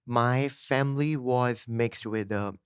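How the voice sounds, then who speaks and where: A man's voice: medium pitch, slow pace, clear, resonant tone, no echo. Earnest young man with Pakistani accent. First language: Urdu. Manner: earnest, repeats affirmations like "yeah, yeah". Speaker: podcast guest. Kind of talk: casual interview chat.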